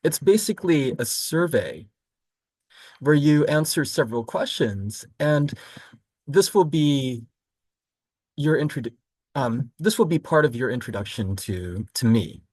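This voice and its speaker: A man from Florida who teaches college literature, walking students through a course overview in a relaxed, explanatory way with frequent ums and self-corrections. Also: mid-range voice, unhurried, breathy edge, no echo. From Florida, United States. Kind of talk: recorded course overview lecture.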